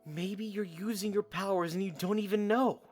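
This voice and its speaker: A man's voice: high voice, moderate pace, a little mellow, faint music behind. A man playing a loyal young soldier in an audio drama, blunt, protective and earnest. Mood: earnest, encouraging. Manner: earnest, direct.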